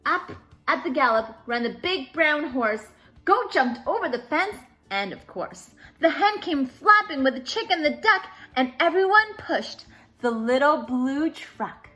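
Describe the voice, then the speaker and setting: Animated, high-voiced woman reading to children. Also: a little slow, faint music behind. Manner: animated, sing-song storytelling. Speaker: children's story time host. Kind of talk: expressive children's picture-book read-aloud.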